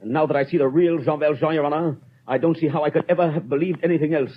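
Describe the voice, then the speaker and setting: A man's voice: on the high side, fairly even intonation, fast talker, a little hiss or hum, close to an everyday voice. A man playing a stern, rigid police inspector in 1930s radio drama style, with a clipped, formal, unbending manner. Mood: certain, matter-of-fact. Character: stern, rigid, self-punishing male French police inspector. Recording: dull old 1930s radio recording.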